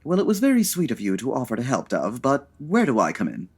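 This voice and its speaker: Flamboyant, fussy artistic gent, gushing endearments, hugely animated delivery, audio-drama comedy. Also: male voice, mid-range voice, slightly rough, full character voice. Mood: charming, amused. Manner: effusive, affected, drawn-out endearments.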